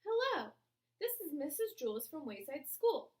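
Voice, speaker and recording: A woman's voice: high voice, sing-song, unhurried, pitched above the performer's own voice. A woman voicing a firm but kindly female schoolteacher, stern yet encouraging, in a children's storybook. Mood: reassuring, firm. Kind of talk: children's storybook read-aloud.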